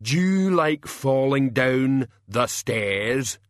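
Very slow, rumbling simple-minded hulk. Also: male voice, lively intonation, no echo, clean studio sound. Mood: slow, menacing. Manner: rumbling, drawn-out, childlike insistence. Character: slow, simple-minded hulking male henchman. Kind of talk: audiobook character acting.

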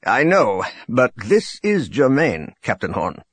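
A man voicing a calm, sympathetic military colonel in an audiobook, quiet and measured. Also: mid-range voice, husky, dry recording, exaggerated voice.

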